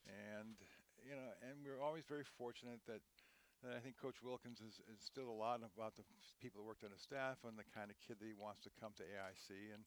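A man's voice: fairly low pitch, steady tone, brisk. A man in his sixties, a guest on an interview podcast, telling long rambling stories full of restarts and fillers. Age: 60s. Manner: rambling, warm storytelling with many restarts. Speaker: guest, head equipment manager. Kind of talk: conversational interview.

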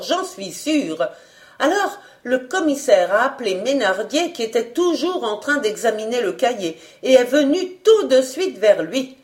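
A woman voicing an excitable, gossipy older housekeeper who talks in a rambling, colloquial way. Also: on the high side. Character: chatty older female housekeeper, garrulous and excitable.

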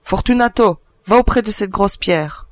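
A man's voice: high-pitched, moderate pace, no echo, voice pushed up, close to an everyday voice. A man voicing a stern, unforgiving father in a storybook, grim and terse in his commands. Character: stern, grim, unforgiving Corsican father, calm but frightening.

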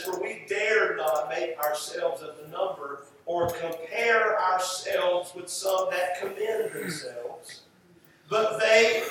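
An American man preaching a sermon in an emphatic style, with rhetorical repetition and deliberate pauses. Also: high voice, fairly bright tone. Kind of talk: sermon to a congregation. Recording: echoey, distant mic, faint background noise.

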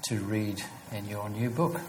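A man's voice: on the low side, flat delivery, unhurried, a little mellow, slight background noise. A man interviewing an author, asking long, searching questions with many fillers.

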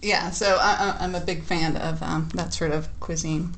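A woman's voice: low-pitched, steady tone, normal speed, a bit bright, slight background noise. A woman hosting a podcast interview, chatty and laughing often, with many fillers. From United States. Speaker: podcast host. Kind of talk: casual interview chat.

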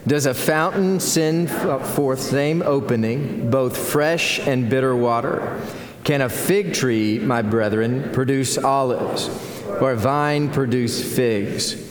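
Slow-preaching Texan pastor in an echoey hall. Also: male voice, medium pitch, a crowd in the background. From United States. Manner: earnest preaching, rising in intensity. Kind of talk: sermon. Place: church sanctuary.

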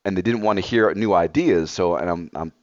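A man's voice: deep voice, brisk, dry recording, dull audio. A man in his thirties chatting casually as a podcast co-host, blunt and laid-back with a slightly scratchy voice. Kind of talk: casual chat.

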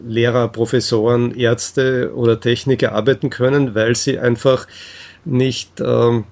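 A man is being interviewed. His voice sits at an average pitch. He explains things in a measured, halting manner, often hesitating as he goes. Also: flat delivery, vocal fry, a little mellow, lo-fi sound.

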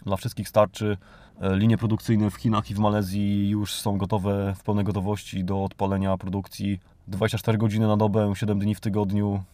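A man's voice: lowish voice, monotone, a little fast, warm tone, dry recording. A Polish man hosting a podcast, delivering a tongue-in-cheek monologue in a mock-earnest, exhorting manner. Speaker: podcast host.

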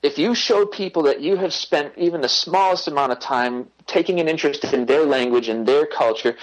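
A man from the United States, talking casually as an interview guest, chatty and quick to laugh at himself, with many fillers. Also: fairly high pitch, low-quality recording. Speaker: interview guest, language learner.

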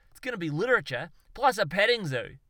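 A man's voice: sits high, very animated, fast talker, no room sound, studio quality, pitched above the performer's own voice, full character voice. A man doing a blustering Australian showman zoologist. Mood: boastful. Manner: loud, blustering, slangy. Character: brash boastful Australian self-styled zoologist, male.

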